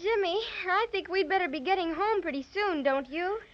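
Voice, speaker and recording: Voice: very high voice, moderate pace. A young female performer playing a sweet, earnest little girl in 1930s radio style. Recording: muffled vintage 1930s radio recording.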